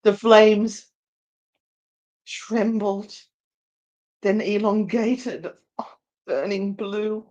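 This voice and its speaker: Slow, theatrical female storyteller. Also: mid-range voice, fairly even intonation, darkish tone, muffled recording. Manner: deliberate, theatrical. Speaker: narrator and co-host. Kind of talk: dramatic story narration, live read.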